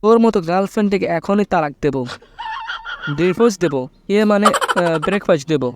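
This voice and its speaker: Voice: mid-range voice, lively intonation, fast, background noise, voice pushed down, natural voice. A performer voicing an earnest young cartoon boyfriend with a Bangladeshi accent, plaintive, in colloquial village speech. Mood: angry. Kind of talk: comedy cartoon dialogue and narration. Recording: music under the voice.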